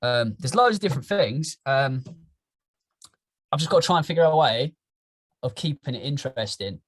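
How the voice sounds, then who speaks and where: A young man with an English accent, chatting casually as a podcast guest, rambling and animated. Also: medium pitch, moderate pace, breathy edge. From England. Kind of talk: casual chat.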